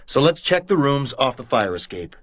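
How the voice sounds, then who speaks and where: A loyal police sergeant in a radio mystery. His middle-pitched voice moves in lively curves, wry and questioning as the detective's sidekick. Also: moderate pace, no room sound. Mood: curious, wry. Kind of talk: detective radio drama acting.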